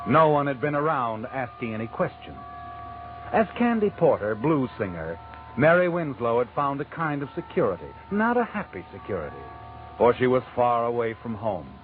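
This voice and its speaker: An actor playing an ominous, all-knowing mystery narrator with an American accent, sinister and insinuating in 1940s radio style. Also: male voice, mid-range voice, very animated, moderate pace, soft background music, close to an everyday voice. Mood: brooding. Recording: vintage radio recording with narrow band.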